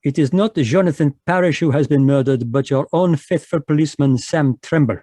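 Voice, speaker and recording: An actor playing a fussy, courtly Belgian detective, in a live radio drama over a video call. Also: male voice, on the high side, fairly quick, mellow, no echo, lightly acted. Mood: smug, courtly. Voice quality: precise, courtly French-inflected delivery. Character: fussy male Belgian detective, polite and sly.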